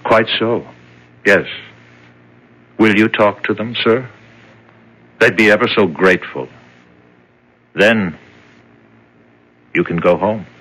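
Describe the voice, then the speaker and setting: A formal, courteous male official from seventies radio drama. His low voice has a bright, forceful edge, and his measured politeness carries quiet menace. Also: low-quality recording. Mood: smoothly polite. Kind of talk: 1970s radio drama acting.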